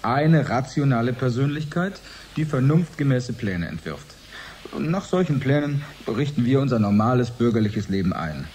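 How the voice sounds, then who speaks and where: An actor playing an earnest research scientist in a radio drama, explaining formally and deliberately. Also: male voice, mid-range voice, very animated, darkish tone, lightly acted. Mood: earnest, explanatory. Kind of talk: radio drama, expert interview. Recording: faint background noise.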